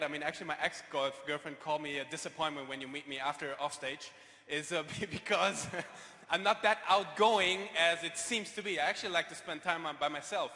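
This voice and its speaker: A man giving an earnest motivational speech on stage, sometimes halting and restarting. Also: on the high side, bright, forceful tone. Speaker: speaker at a business event. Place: large hall on stage. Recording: reverberant hall via PA.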